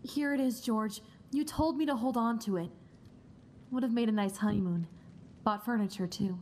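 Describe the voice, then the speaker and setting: A woman's voice: moderate pace, mellow, natural voice. A young woman acting a generous, wistful young wife in a radio play, speaking earnestly. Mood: earnest generosity. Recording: crowd and rumbling background noise.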